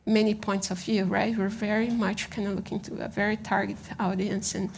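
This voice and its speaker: A Brazilian woman speaks as a presenter at a design conference. Her voice has a noticeable creak and sits at an ordinary female pitch. She talks informally and haltingly with a Brazilian accent, often seeking agreement as she goes. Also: level tone, slight background noise. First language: Portuguese. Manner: halting, conversational, often checks with "right?".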